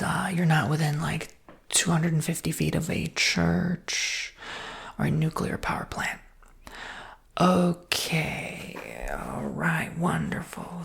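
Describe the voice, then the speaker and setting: Woman, ASMR roleplay, breathy whisper, low pitch, heavy gravelly fry, reassuring salesperson patter. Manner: soft-spoken, reassuring customer-service patter.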